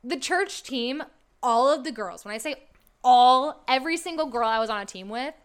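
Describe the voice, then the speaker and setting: A woman's voice: high voice, animated, normal speed, smooth. An American woman chatting casually as a podcast guest, rambling, emphatic and a little self-deprecating. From St. Louis area, United States. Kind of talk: casual chat.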